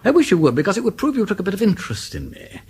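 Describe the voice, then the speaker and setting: An actor playing a flippant, lovestruck aristocratic English gentleman detective in a British radio drama, chattering whimsically in old-fashioned slang. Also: sits high, wildly swooping pitch, rapid-fire, full character voice. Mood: earnest, playful. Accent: upper-class English.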